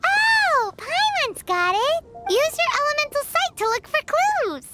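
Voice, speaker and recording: Casting: tiny cheeky fairy sidekick, extremely high and very animated, for a fantasy game. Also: female voice, measured pace, soft background music, heavily acted. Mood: surprised, excited. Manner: talks about herself in the third person, exclamatory. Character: tiny childlike fairy companion, cheeky, excitable, blunt. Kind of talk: animated video game character acting.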